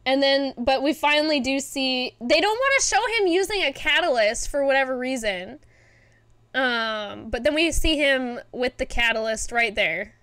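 A young woman streamer giving casual, gushing, excitable live reaction commentary. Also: high-pitched, moderate pace, clear, resonant tone. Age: young adult. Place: small indoor streaming room. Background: faint music from the video being watched.

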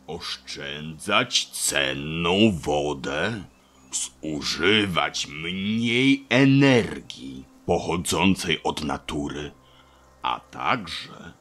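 Wise old talking tree played by a man: low, forceful, knowing, for a children's audio play. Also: heavily acted. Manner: slow, measured, all-knowing, pompous.

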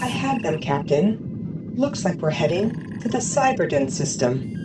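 A woman's voice: fairly low pitch, very animated, unhurried. A woman playing an efficient, dutiful spaceship crew member in a sci-fi audio drama, matter-of-fact. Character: efficient, dutiful ship's pilot named Steve. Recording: loud spaceship hum behind.

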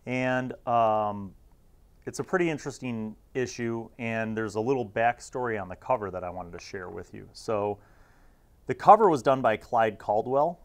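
A man's voice: mid-range voice, normal speed. An American man in his forties hosting a casual review to camera in a reflective, nostalgic manner. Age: 40s. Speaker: host.

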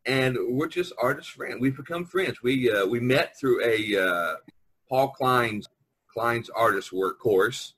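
A man's voice: mid-range voice, slow, a bit bright, husky. An American man hosting a casual podcast chat on a video call, talkative and jokey, prodding the others along. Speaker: podcast host, visual artist. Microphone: online video conference call.

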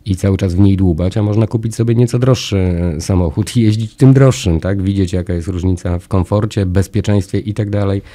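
Deep, dark-voiced Polish radio host. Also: male voice, steady tone, fairly quick, hardly any pauses. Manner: long, discursive questions with analogies. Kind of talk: radio interview. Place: small radio studio with orange acoustic panels. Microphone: studio mic on a desk arm, wearing headphones.

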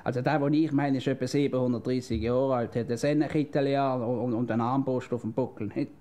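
A male actor playing a blustering, know-it-all husband in a comic radio play, with a Swiss German accent and excitable, repetitive delivery. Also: mid-range voice, fast talker, close to an everyday voice. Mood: explaining, impatient. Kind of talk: comedic radio play dialogue.

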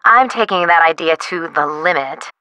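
A young actress playing a quirky, excitable, pretentious artist in a video game, with a perky, slangy, theatrical delivery. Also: female voice, fairly low pitch, normal speed, no room sound, low-quality recording. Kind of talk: video game character dialogue.

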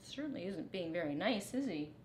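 Woman, storyteller, animated pitch, deliberate, children's read-aloud, roomy indoor echo. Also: a little hiss or hum. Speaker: storyteller, teacher. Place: living room, indoors on a couch.

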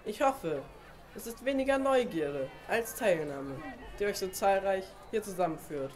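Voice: medium pitch, expressive, unhurried, natural voice. A performer plays a stern bishop in an amateur radio play. He proclaims solemnly and with command over a faint crowd. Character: stern male bishop presiding over a public trial.

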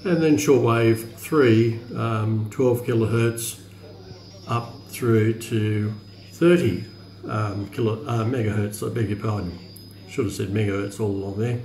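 A man's voice: on the low side, fairly even intonation, measured pace, darkish tone. Male reviewer, casual hands-on demo, hesitant and thinking aloud, echoey room, slight background noise. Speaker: radio reviewer. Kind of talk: casual, unscripted product demonstration. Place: indoor room in a home. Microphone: handheld phone camera. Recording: roomy phone recording with background noise.

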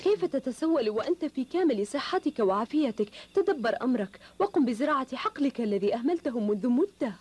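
A woman's voice: sits high, expressive, a little fast, few pauses, darkish tone, breathy edge. A woman playing a dutiful, firm young wife and mother in a cartoon dub, speaking in a formal, earnest way. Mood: firm, reproachful.

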